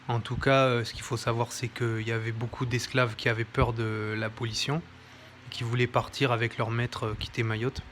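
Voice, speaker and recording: A man's voice: mid-range voice, level tone, moderate pace, a bit bright, slight background noise. A man presenting a history book in an informal, hesitant commentary, with many fillers and self-corrections. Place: home near an airport.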